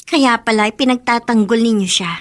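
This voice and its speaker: An actress playing a bitter young daughter who speaks curtly and resentfully in a radio drama. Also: female voice, on the high side, normal speed, lightly acted. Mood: cold. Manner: sharp, curt and resentful. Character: bitter grown daughter, angry and unforgiving.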